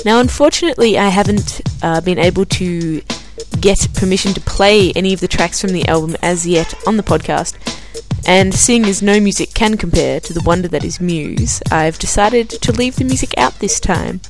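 Australian woman podcast host, lower voice, casual and self-deprecating, reads fiction aloud. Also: normal speed, music in the background. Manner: chatty and self-deprecating, with filler words. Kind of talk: casual chat, then reading a novel aloud.

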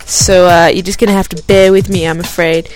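A woman's voice: lowish voice, flat delivery, a little fast, a little mellow, soft background music. Chatty Australian woman podcaster. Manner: chatty and self-deprecating, with filler words. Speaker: podcast host. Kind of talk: casual chat, then reading a novel aloud.

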